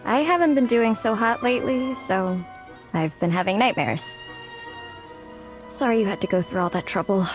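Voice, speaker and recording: A woman's voice: medium pitch, normal speed, pauses often, natural voice. Woman acting as weary, self-doubting young office worker. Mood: weary, confiding. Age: young adult. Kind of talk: acted video game cutscene dialogue. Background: background music.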